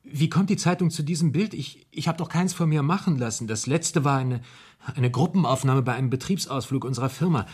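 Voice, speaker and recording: A man's voice: mid-range voice, a little fast, a little mellow, husky, close to an everyday voice. An actor in a 1970s German radio play, playing a frightened, stammering murder suspect who doubts his own mind and repeats his words. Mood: agitated, afraid.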